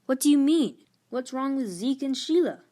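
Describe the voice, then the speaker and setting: A woman voicing a thoughtful, earnest middle-school boy prince in a storybook, with a questioning, measured manner. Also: sits high, expressive, mellow, voice pushed up. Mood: concerned.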